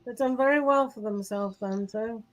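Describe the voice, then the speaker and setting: A woman's voice: fairly high pitch, rough recording. A woman, co-hosting a live stream of oracle card readings and chatting in a playful, teasing way. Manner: teasing, playful and quick to laugh. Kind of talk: casual chat on a live stream.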